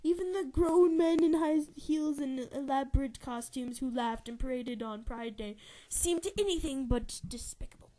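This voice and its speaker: A woman's voice: high-pitched, moderate pace, warm tone. A young adult woman giving an informal, giggly dramatic reading of a novel, tripping over words and correcting herself as she narrates. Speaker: amateur reader and narrator.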